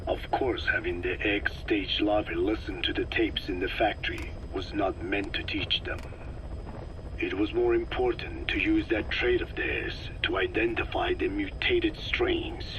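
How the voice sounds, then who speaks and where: An actor playing a grave, learned elderly male scientist with a breathy, whispery rasp, explaining slowly and solemnly in a video game. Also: on the low side, steady tone, muffled recording. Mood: measured, wise. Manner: slow, measured, solemn explaining. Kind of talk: video game voice acting, recorded briefing. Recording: noisy cassette tape briefing.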